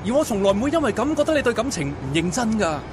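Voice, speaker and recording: A man's voice: high-pitched, big pitch swings, racing, crowd noise. A man playing a persistent, pleading young suitor in a Hong Kong Cantonese radio drama, mixing in English words. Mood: earnest persuasion. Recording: loud street traffic behind the voice.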